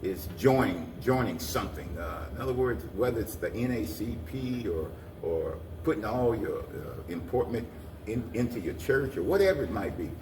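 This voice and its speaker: Male interview guest, low voice, earnest and repetitive, recorded off-mic in a noisy room. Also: expressive, moderate pace, echoey room, far from the mic. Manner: earnest, rambling, repeats phrases. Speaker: guest, community organization president. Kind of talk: conversational interview answers.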